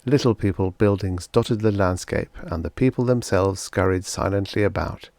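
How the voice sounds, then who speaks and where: A man's voice: low-pitched, normal speed, a little mellow, no room sound. A man reading a scripted short story aloud as an audiobook narrator.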